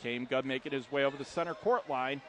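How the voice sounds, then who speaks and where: A man's voice: mid-range voice, quick pace. An American man calling live basketball play-by-play for radio in punchy, urgent phrases from courtside. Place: courtside in an indoor arena. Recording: loud gym noise behind. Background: arena ambience, bouncing basketballs.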